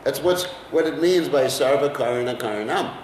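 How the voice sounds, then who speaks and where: A man's voice: mid-range voice, moderate pace, a little mellow, reverberant, far from the mic, slight background noise. An American man teaching a scripture class in a conversational way, often putting questions to his listeners. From United States. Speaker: lecturer on scripture.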